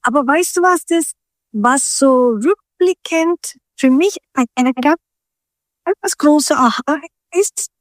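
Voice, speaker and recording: High-voiced woman, slow and dry. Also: lively intonation, no echo. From Turkey. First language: Turkish. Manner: thoughtful, searching for words with frequent restarts. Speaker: guest. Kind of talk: reflective interview conversation.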